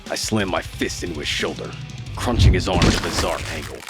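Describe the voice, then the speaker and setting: A battered fighter telling his story in an audio drama. His voice is very low, grim and intense, with loud music playing behind it. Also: fairly quick. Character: battered, gritty male fighter narrating his own story. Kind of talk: dramatic first-person scripted narration.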